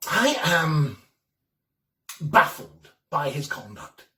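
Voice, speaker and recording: An actor in a dramatic reading, playing a lonely, timid, middle-aged academic who muses in a hesitant, fussy, precise way. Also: male voice, fairly high pitch, big pitch swings, extremely slow, sharp tone, breathy edge, heavily acted. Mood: puzzled, fretful. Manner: hesitant, musing, theatrical, precise wording. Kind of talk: performed dramatic reading of a classic ghost story, first-person monologue.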